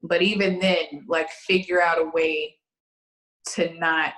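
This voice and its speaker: A woman in her late thirties tells stories in a chatty, animated way, her voice sitting fairly low. The room around her rings with noticeable echo. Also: slow pace. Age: 30s. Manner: animated storyteller, chatty and expressive. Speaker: podcast guest. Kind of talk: casual chat.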